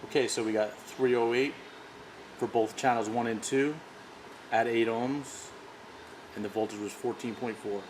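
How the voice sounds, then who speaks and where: A man's voice: mid-range voice, fairly even intonation, a little slow, pauses often, noisy background. A man giving an informal technical product demonstration. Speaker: product demonstrator for an audio brand.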